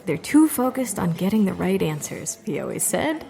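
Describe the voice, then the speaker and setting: A woman's voice: mid-range voice, sing-song, moderate pace, vocal fry, mellow. A woman playing a chatty, fame-obsessed character in an audio drama monologue, rambling earnestly and casually through her story. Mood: chatty, matter-of-fact. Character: chatty young woman obsessed with becoming famous. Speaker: repair shop worker character telling her story.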